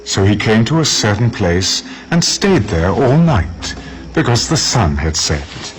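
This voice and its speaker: A man serves as narrator for dramatized scripture. His lowish voice moves expressively as he tells the story in a measured way, with faint music behind him. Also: very animated, rough recording.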